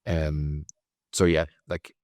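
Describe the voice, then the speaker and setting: A man's voice: extremely low pitch, level tone, takes it slowly, a little mellow, no echo, clean studio sound. A young man with a Danish accent, speaking as a guest in a conversational interview, with frequent hesitations and restarts. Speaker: guest, company founder.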